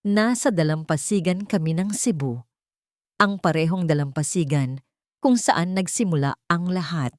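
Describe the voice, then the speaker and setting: A woman narrating a scripted sentimental story in a measured, earnest way. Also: on the low side, no room sound, studio quality. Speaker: storyteller, narrator of audio drama. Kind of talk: scripted dramatic first-person narration.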